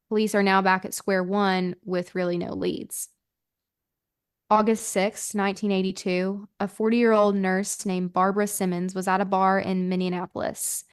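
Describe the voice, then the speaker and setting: A woman's voice: mid-range voice, smooth. A woman hosting a true-crime podcast, telling the story in a casual, conversational way peppered with "like". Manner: informal, hedging, filler-heavy with "like".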